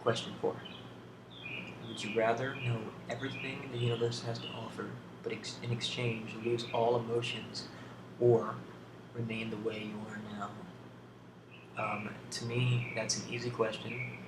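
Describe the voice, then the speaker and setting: A man speaking as an ASMR video host, answering questions off the cuff in a hesitant, thoughtful way with long pauses. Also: mid-range voice, level tone. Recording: roomy, distant, clearly noisy home recording.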